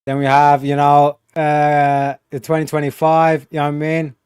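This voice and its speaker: A man's voice: fairly high pitch, monotone, moderate pace, a little mellow, clean tone, dry recording, clean studio sound. Slangy, profane streamer man. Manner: slangy, profane, rambling with many fillers. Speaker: livestream host. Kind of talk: casual livestream chat.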